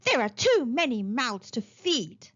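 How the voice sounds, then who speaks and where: A woman's voice: on the high side, very animated, measured pace, fairly bright tone, lo-fi sound, exaggerated voice. A woman voicing a harsh, selfish stepmother in a storybook, with a bossy and insistent way of speaking. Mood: cold, insistent.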